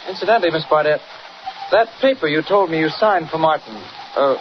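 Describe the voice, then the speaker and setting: Through a muffled, very noisy old radio recording comes an earnest American newsman played by an actor. His voice sits high for a man. He speaks in the hesitant, well-meaning way of a mild-mannered 1940s serial hero. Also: lively intonation, brisk, close to an everyday voice. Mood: reassuring, hesitant. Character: earnest mild-mannered male newspaper reporter, anxious but reassuring.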